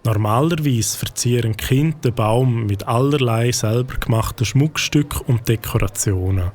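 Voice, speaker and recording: Brief: Swiss German male storyteller, calm and even, mid pitch. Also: moderate pace. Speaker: narrator. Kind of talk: storybook narration.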